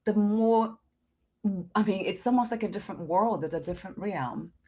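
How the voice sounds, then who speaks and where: A woman's voice: medium pitch, normal speed, a crowd in the background. A woman hosting an interview, thoughtful and affirming, musing aloud between her guest's stories. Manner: thoughtful, affirming, frequent listening murmurs. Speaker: host. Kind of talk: reflective interview conversation.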